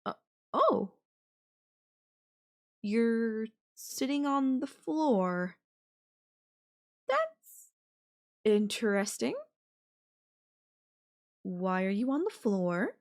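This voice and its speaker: Slow-talking, possessive yandere girlfriend played by a woman. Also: fairly high pitch, sing-song, full character voice. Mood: playful, doting. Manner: slow, coaxing, affectionate talk with veiled threats. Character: possessive yandere girlfriend, sweetly menacing captor, adult woman. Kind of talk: intimate audio roleplay addressed to the listener.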